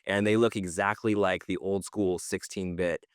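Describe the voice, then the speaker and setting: A man's voice: low voice, steady tone, normal speed, dry recording, clean studio sound. A middle-aged man co-hosting a podcast, chatting casually about news in an informal, slangy way. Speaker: podcast co-host presenting industry news. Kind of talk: casual chat.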